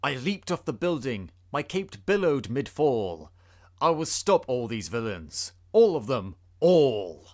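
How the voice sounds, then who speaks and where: A man's voice: medium pitch, big pitch swings, slow pace, a little mellow. A man giving a theatrical, suspenseful dramatic reading of a rhyming story. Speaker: podcast host.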